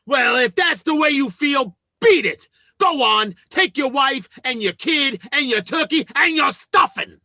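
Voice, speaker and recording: An actor playing a blustering, scheming working-class husband who stammers and boasts, in old-time radio sitcom style. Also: male voice, very high voice, animated, measured pace, pushed and bright, dry recording, clean studio sound, heavily acted. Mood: indignant.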